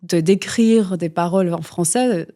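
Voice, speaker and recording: A woman's voice: fairly low pitch, unhurried, warm tone. A woman, a guest musician talking in a casual interview, rambling with many fillers and restarts. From Belgium.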